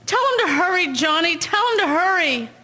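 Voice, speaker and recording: A woman's voice: very high voice, big pitch swings, moderate pace, noisy background. A woman acting an earnest, emotional young wife and mother in a historical audio drama. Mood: anxious, urgent. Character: anxious, pleading young wife and devoted mother, 1910s.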